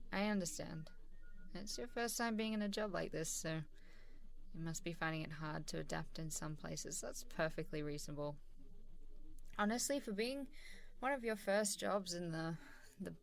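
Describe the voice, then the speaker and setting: A woman playing a warm, supportive, easygoing boss in a casual, encouraging ASMR role-play. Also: lowish voice, moderate pace, creaky. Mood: reassuring, encouraging. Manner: encouraging, casual, chuckling hesitantly between phrases. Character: supportive, warm, easygoing female boss giving a praising review. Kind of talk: ASMR role-play, softly conversational one-sided dialogue.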